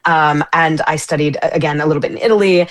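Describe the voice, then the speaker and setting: A woman's voice: low voice, fairly even intonation, quick pace. Bubbly woman singer. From United States. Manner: bubbly and effusive, with many fillers, restarts and laughs. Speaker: guest, opera singer and musical theatre performer. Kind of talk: casual interview chat.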